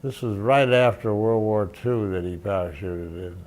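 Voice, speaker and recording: An older man, a veteran journalist, recounting memories in an interview, halting and restarting often. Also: on the low side, a little mellow. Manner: halting, many restarts and fillers. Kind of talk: reminiscing interview.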